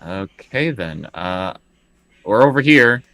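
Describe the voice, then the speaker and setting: Low-voiced man playing a tabletop game. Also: lively intonation, slow, sharp tone, low-quality recording. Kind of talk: casual tabletop roleplay chat.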